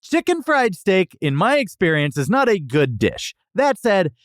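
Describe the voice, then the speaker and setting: A man's voice: high-pitched, big pitch swings, unhurried, dry recording, studio quality. An American man from Texas hosting a podcast, chatting casually and giving wry, exaggerated comic complaints as he reviews food. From Texas, USA.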